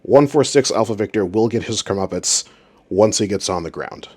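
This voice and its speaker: A man's voice: lowish voice, animated, normal speed, darkish tone, a little hiss or hum. A man giving informal commentary as an aviation audio reviewer, with an exasperated, sarcastic way of ranting. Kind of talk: informal commentary on radio recordings.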